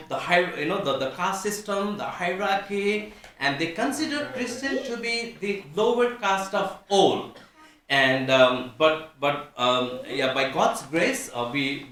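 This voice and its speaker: Man from Nepal, Nepali accent, visiting pastor, halting earnest talk, somewhat high voice, distant mic. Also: a bit bright. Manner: earnest, halting, with frequent restarts and fillers. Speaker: visiting pastor and church planter. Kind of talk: church talk to a congregation. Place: church hall. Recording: echoey church hall from far off.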